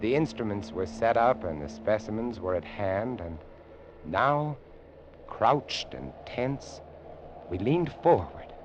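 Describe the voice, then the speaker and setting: An actor playing an adventurer who narrates a grim expedition with dramatic, deliberate delivery, in 1940s radio drama style. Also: male voice, on the low side, lively intonation, slight background noise. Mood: hushed, tense. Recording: dull old radio recording, music behind.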